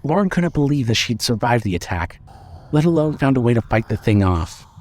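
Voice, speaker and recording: A man's voice: mid-range voice, sing-song, fairly quick, a little mellow. A man reading scripted horror fiction as the narrator of an audio drama.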